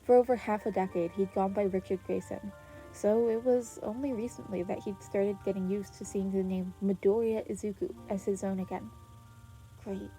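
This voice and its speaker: A woman narrates a fan story in a mellow, soft-edged voice at a middle pitch. Her reading is expressive and scripted, with faint music playing behind her. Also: normal speed, poor audio. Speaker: podfic narrator. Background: background music.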